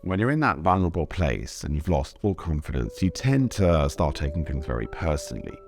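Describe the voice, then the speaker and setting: A young Englishman with an English accent, telling his own story in measured, reflective scripted narration. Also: rumbling low, very animated, faint music behind. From Britain. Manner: thoughtful, measured storytelling, switching between English and German. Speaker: vlogger.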